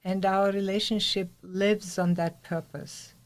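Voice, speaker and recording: A woman's voice: medium pitch, steady tone, a little slow. A woman with an Austrian accent and German as her native language, speaking conversationally as an interview guest who teaches meditation.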